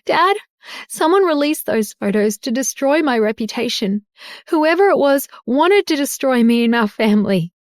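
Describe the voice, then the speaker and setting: A woman voicing a heartbroken young woman pleading with her father in a high, tearful voice. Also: animated, normal speed, no room sound, studio quality.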